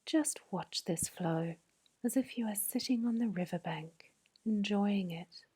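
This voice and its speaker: Whispering woman guiding a sleep meditation. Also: medium pitch. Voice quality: breathy whisper. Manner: slow, soothing, gentle. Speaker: meditation guide.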